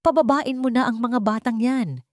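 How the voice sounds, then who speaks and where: Woman acting out a rattled, domineering rich matriarch, higher pitched. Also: normal speed, a little mellow, no room sound, studio quality, lightly acted. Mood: alarmed, indignant. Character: wealthy, domineering matriarch, shaken and indignant.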